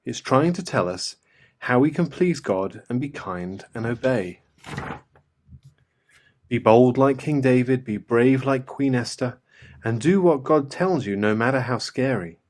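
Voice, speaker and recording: A man's voice: mid-range voice, expressive, measured pace, darkish tone, lightly acted. A man reading as an upset little girl. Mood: earnest.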